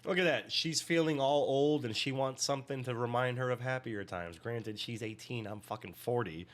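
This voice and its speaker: A man in his forties giving casual, energetic commentary on a show and swearing freely. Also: mid-range voice, moderate pace. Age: 40s. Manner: energetic, profane, laughs often. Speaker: reaction video host.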